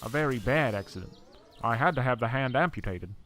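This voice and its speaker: A man's voice: medium pitch, animated, brisk, fairly bright tone, a little hiss or hum, close to an everyday voice. A man playing an older, absent-minded professor in a horror audio drama, mild and matter-of-fact in manner. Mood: apologetic.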